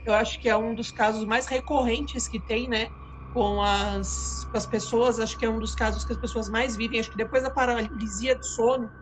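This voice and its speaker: A woman's voice: fairly high pitch, steady tone, normal speed, background noise. Hesitant, explaining Brazilian woman. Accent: Brazilian Portuguese. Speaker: podcast co-host. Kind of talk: casual live-stream chat.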